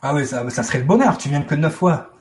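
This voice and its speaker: A man's voice: fairly high pitch, expressive, quick pace, a little mellow. A man speaking informally as a guest on a live spiritual web show, acting out little exchanges as he explains. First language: French.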